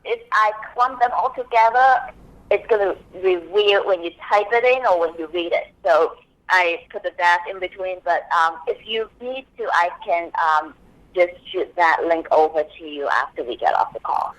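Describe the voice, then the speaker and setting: A woman's voice: lowish voice, moderate pace, a bit bright, low-quality recording. A woman from Hong Kong whose first language is Cantonese, a copywriting expert explaining things step by step as a podcast guest. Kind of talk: podcast interview.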